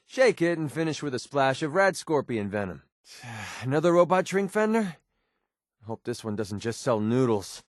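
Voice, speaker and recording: An actor playing a wry, world-weary young mercenary in a video game, with an American accent and a casual, sarcastic way of talking. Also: male voice, a little high, dry recording, clean studio sound, lightly acted. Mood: irritated, exasperated. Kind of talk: video game character voice lines.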